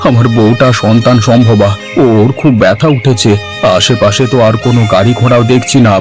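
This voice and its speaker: A man's voice: medium pitch, steady tone, a little fast, over music, lightly acted. A man voicing a haughty, anxious rich village husband in a cartoon story, fussy and exclamatory. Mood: pleading. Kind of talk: Bengali cartoon story voice acting.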